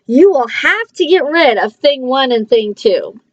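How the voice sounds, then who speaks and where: Lady doing a worried bossy pet fish from a picture book, really high, fretting and scolding, bit muffled. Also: sing-song, a little slow, dry recording. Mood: alarmed, urgent. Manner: urgent, fretful, emphatic. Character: anxious, scolding pet fish, fussy and alarmed.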